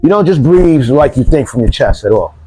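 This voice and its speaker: A man's voice: medium pitch, expressive, a little fast, dark tone, breathy edge, soft background music, low-quality recording. A man teaching self-defense breathing exercises, repeating cues and counting breaths aloud. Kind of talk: demonstration lesson.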